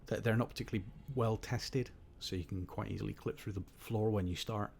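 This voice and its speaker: A slightly hoarse man giving rambling, wry, opinionated commentary while playing a game. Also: lowish voice, steady tone, fairly quick, darkish tone, slight background noise. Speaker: gaming host. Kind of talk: casual gameplay commentary.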